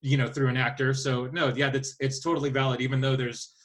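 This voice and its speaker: A man hosting a podcast in casual chat, talking in a halting, thinking-aloud way. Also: mid-range voice, flat delivery, fairly bright tone. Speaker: podcast host.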